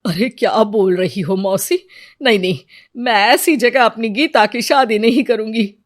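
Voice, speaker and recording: A woman's voice: fairly high pitch, unhurried, close to an everyday voice. A woman playing an older mother and grandmother in a cartoon moral story, fretful and given to scheming. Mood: indignant.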